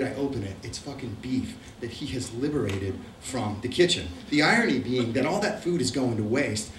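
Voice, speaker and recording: A man's voice: medium pitch, a little hiss or hum. A middle-aged man with an Argentine accent, telling a profane, wry travel story to a live audience. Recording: roomy, distant mic.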